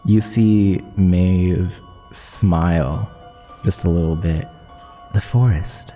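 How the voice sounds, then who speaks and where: A man's voice: low-pitched, fairly even intonation, takes it slowly, warm tone, long reverb tail, faint music behind. A man narrating a fantasy tabletop game as game master.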